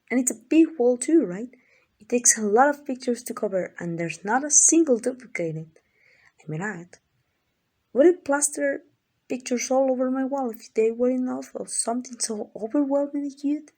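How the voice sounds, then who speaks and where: A woman's voice: on the high side, a little mellow. A woman playing an obsessive, doting yandere kidnapper in an ASMR roleplay, gushing and coaxing with affection. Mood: sheepish, eager. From Mexico.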